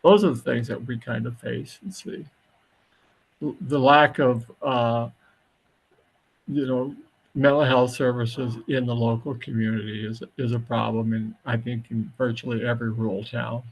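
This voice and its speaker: A man, a high school counselor, giving panel testimony on a video call, speaking haltingly with many fillers. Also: steady tone, creaky, breathy edge, rough recording. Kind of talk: panel testimony at a web briefing.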